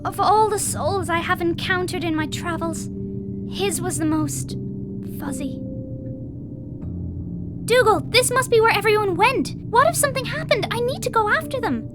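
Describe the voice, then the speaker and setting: A woman voicing a plucky, adventurous young heroine in a video game, with a bright, spirited delivery. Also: very high voice, expressive, normal speed, long pauses. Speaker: game character. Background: loud game music.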